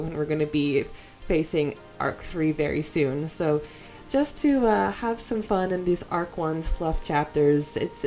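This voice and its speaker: A woman's voice: fairly low pitch, mellow. A woman co-hosting a casual fan podcast, chatting and reading out listener comments in a giggly, enthusiastic way. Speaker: podcast co-host.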